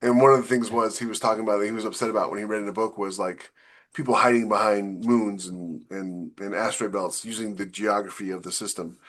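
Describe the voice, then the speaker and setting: A man with a fairly low voice talks as a co-host on a casual panel podcast. He explains things at length in a loose, rambling way. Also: fairly even intonation, fast talker, a bit bright. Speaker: podcast co-host, science fiction author.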